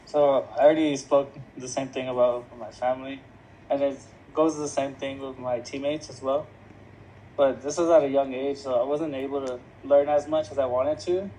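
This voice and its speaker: Young male guest, warm dark tone, hesitant filler-heavy talk, faint noise behind. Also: mid-range voice, steady tone, moderate pace, poor audio. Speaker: podcast guest. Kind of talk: casual podcast chat.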